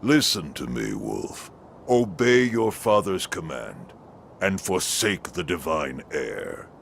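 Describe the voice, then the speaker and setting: An actor playing a scheming old shinobi father in a video game, imperious and deliberate, with a gravelly voice. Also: very animated, a little hiss or hum, heavily acted. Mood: commanding. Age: older. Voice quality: very deep gravelly voice. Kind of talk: video game cutscene acting. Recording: loud music underneath.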